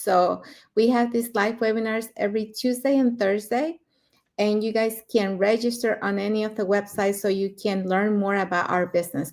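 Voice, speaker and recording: An older woman from Mexico takes her turn on an online panel and speaks plainly, often in lists. Her voice sits on the high side. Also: a little mellow.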